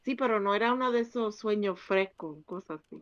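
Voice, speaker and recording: A woman's voice: mid-range voice, fast talker, dry recording, highs cut off. A woman chatting casually beside the streamer and telling him about a dream. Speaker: streamer's companion. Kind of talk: casual chat.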